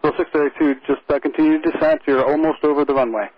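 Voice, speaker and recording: A man working as an air traffic controller, giving calm, reassuring procedural instructions over the radio. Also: a little high, very fast, dull audio.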